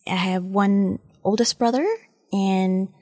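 A woman's voice: medium pitch, steady tone, takes it slowly, warm tone. A woman in her twenties from Malaysia, answering interview questions casually and hesitantly, with many ums and uhs. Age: 20s. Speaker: interview guest.

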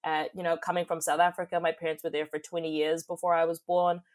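Lower-pitched young New woman. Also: little pitch movement, fast talker. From New Zealand. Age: young adult. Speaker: podcast guest, youth advocate and poet. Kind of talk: reflective conversational interview.

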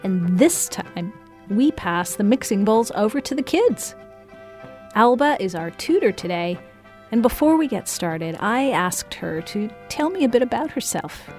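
A woman's voice: medium pitch, lively intonation, unhurried, soft background music. A woman hosting a community radio program who presents the show and asks a young guest friendly, encouraging questions.

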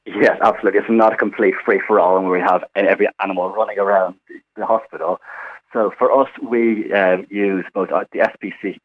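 A man's voice: fairly low pitch, steady tone, fairly quick. An Irish man, a hospital physiotherapist, explaining his work in a chatty way with many fillers during a radio phone interview. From Ireland. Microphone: phone line. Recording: tinny phone line.

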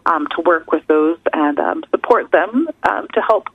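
A woman's voice: low voice, big pitch swings, a little slow, breathy edge, dry recording. A woman in her thirties with a Canadian accent, a research scientist chatting as a guest, filling her talk with "kind of" and "um". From Canada, British Columbia. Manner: chatty, many fillers like kind of and um. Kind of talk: casual radio interview. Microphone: phone call. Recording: tinny phone line, dry.

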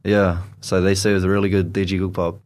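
Brief: deep, relaxed young New Zealand man, guest in a casual radio chat, drops in Korean words. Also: racing. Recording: community radio studio.

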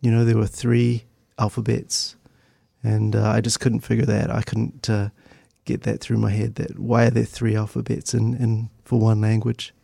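A man's voice: fairly low pitch, completely flat delivery, creaky, mellow, no echo, studio quality. A middle-aged man with a New Zealand accent, reminiscing conversationally and hesitantly as an interview guest. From Christchurch, New Zealand. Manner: hesitant, halting, thoughtful delivery.

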